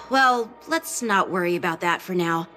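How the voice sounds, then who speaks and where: A woman's voice: on the low side, expressive, a little slow, pushed and bright, soft background music. An actress playing a practical young female officer who talks in a casual, friendly way. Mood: earnest. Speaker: military officer. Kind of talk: game voice acting.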